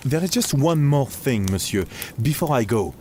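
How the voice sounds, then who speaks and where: Guy playing earnest night guard, respectful to his boss, middling pitch, bit of noise behind. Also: animated, moderate pace, darkish tone, lightly acted. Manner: respectful, plain-spoken. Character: deferential, earnest male night guard, sure of himself. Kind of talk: audio drama acting.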